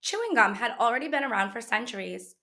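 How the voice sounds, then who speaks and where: Bright-voiced woman reading to children. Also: on the high side, big pitch swings, quick pace. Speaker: teacher reading a book aloud. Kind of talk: children's picture-book read-aloud.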